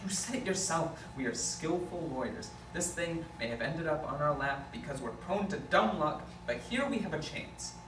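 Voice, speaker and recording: A man performs a bossy but hopeful lawyer at a table read, with a slightly high voice. The sound is roomy, with clear background noise. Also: animated, normal speed, few pauses, lightly acted. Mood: rousing. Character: earnest male lawyer, bossy, hopeful, given to speeches. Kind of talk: amateur script table read. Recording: distant, echoey, noisy room.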